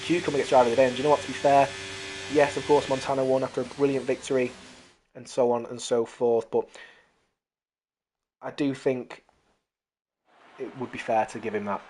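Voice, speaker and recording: A race commentator calling an online racing league, hoarse from losing their voice and excitable during close battles. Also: mid-range voice, brisk, long pauses, a little hiss or hum. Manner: talks to viewers as "guys".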